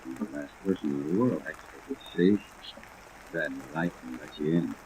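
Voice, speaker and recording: A man's voice: extremely low pitch, normal speed, a little mellow, low-quality recording. An actor playing an uneasy, slightly drunk insurance man in a 1950s radio drama, muted and hesitant. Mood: uneasy, subdued. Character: subdued, uneasy, tipsy male insurance man. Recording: old radio recording, faint rain noise.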